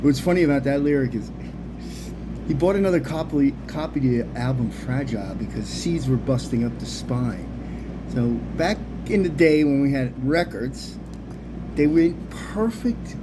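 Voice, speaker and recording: A man's voice: mid-range voice, moderate pace. A middle-aged man with an American accent telling a casual, rambling story in loose, slangy, sweary talk. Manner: animated slang-heavy talk with frequent swearing. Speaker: storyteller, video host. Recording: clearly noisy background hum.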